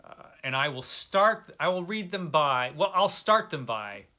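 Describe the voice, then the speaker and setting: Male book vlogger, somewhat higher-pitched and bright, conversational, wry, self-deprecating. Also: sing-song, unhurried. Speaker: host of a book review video channel. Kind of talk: casual chat to camera about books.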